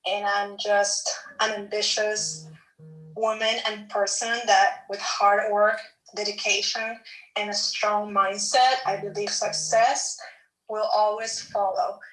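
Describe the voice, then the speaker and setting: Bright-voiced Peruvian woman, roomy and distant. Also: mid-range voice, level tone. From Peru. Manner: eager, motivational, quick bursts. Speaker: online business coach. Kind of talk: live audio room chat.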